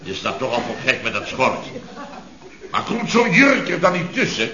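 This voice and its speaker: Een acteur die een mopperende, opschepperige en zielige rijke aannemersbaas speelt, pompeus en nadrukkelijk, in een oude radiokomedie. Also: male voice, mid-range voice, wildly swooping pitch, normal speed, a crowd in the background. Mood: smug, jovial. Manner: pompous, grumbling, emphatic, repeats himself. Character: blustery, self-pitying, boastful rich building contractor boss. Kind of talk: 1970s radio comedy acting. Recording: dull, roomy vintage radio recording.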